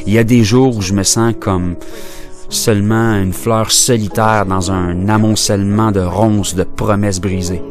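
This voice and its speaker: A man performing spoken-word poetry in a declamatory, impassioned and deliberately phrased oratorical delivery, with music behind him. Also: fairly low pitch.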